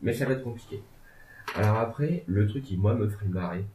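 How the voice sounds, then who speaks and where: A man's voice: fairly low pitch, a little fast, darkish tone. A man discussing politics in a casual, rambling way, with many hesitations and joking asides. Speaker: legal and political commentator. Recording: tinny phone-like sound in an echoey room.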